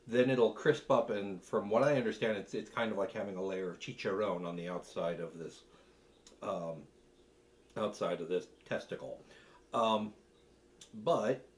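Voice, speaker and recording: Middle aged guy w a lowish voice doing a food tasting in his kitchen, kinda halting n thoughtful, talks between bites. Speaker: cooking video host. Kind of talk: casual tasting commentary. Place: home kitchen, seated at a table.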